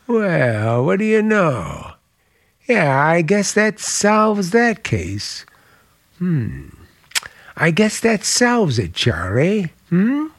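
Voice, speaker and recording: An actor playing a charming middle-aged American uncle with quiet menace under a genial, deliberate manner, in vintage radio drama style. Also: male voice, high-pitched, sing-song, dry recording, clean studio sound, exaggerated voice. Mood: guarded relief.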